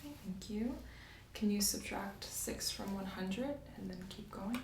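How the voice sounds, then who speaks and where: A woman speaks softly as a doctor in an ASMR exam roleplay. She guides gently and politely, step by step. The room rings a little around her, she sits back from the mic, and there is faint background noise. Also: mid-range voice, a little slow, recorded from a distance. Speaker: ASMR performer playing a doctor. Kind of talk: soft-spoken ASMR medical exam roleplay. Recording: roomy sound, off-mic, faint hiss.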